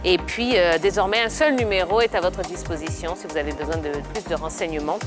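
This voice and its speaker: A woman's voice: fairly low pitch, brisk, over music. A woman hosting a football talk show, cheerful and playful. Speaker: TV host.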